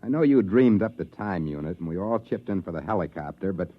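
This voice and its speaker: An actor playing an uneasy, trigger-ready adventurer in a 1950s sci-fi radio drama, blunt and grumbling. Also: male voice, low-pitched, expressive, a little fast. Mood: worried. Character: Coop, uneasy, trigger-ready adventurer camping in prehistoric wilds. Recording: dull, lo-fi vintage radio recording.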